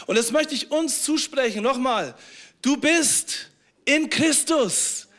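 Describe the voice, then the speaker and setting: A man's voice: extremely high pitch, slow pace, fairly bright tone. A man preaching a sermon to his congregation, earnest, repeating phrases and restarting often. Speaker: pastor.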